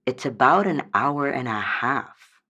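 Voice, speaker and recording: A woman's voice: rumbling low, lively intonation, slow pace, pushed and bright, husky, highs cut off, exaggerated voice. A woman voicing a meek, hesitant dryad calling a radio advice show in an audio drama, unsure and trailing off. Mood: defensive, tired. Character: hesitant, meek female dryad, homesick for her forest.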